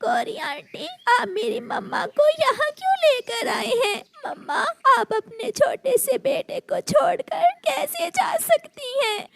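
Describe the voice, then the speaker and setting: An innocent little cartoon bird boy put on by a woman with a very high, childlike voice. He is bewildered and weepy, whimpering with wide swings of pitch. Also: animated, slow pace, creaky, few pauses, fairly bright tone, exaggerated voice. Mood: distraught.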